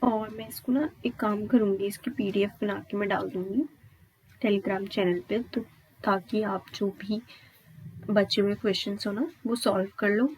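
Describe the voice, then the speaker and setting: A woman's voice: medium pitch, steady tone, a little slow, darkish tone, a little hiss or hum, rough recording. A woman with an Indian accent teaching grammar step by step, often checking with questions that listeners follow. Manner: instructional, checks understanding with frequent questions and tags. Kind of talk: exam-prep lesson, explaining step by step.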